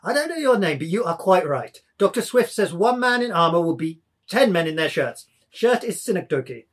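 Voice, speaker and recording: A man playing a tipsy, pedantic young man in a dramatised novel reading, declaiming in a bookish, deliberate way. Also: sits high, very animated, slightly rough, exaggerated voice. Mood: pedantic, amiable. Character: tipsy, pedantic, high-flown young man, elaborately gesturing.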